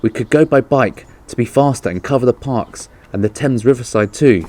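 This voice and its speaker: An anxious but practical aunt from a children's storybook, voiced by a man. The speech is urgent and lifted in pitch. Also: moderate pace, dark tone, natural voice.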